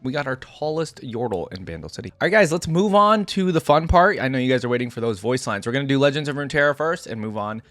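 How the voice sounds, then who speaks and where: A man's voice: mid-range voice, animated, fast, hardly any pauses, fairly bright tone, dry recording, soft background music. A man giving casual reaction commentary as host of a game lore video, reading bits aloud between remarks. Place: close, dry indoor recording.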